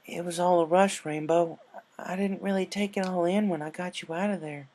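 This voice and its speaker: Man playing a folksy farm mare, raised pitch. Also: fairly even intonation, moderate pace, a little mellow. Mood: concerned. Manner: folksy, plain-spoken, firm. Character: protective, firm adult female farm pony, motherly friend.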